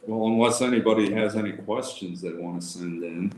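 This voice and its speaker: A man reading aloud as an informal presenter for a local historical society, in a somewhat halting manner. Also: on the low side, a little mellow. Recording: roomy echo with faint background noise.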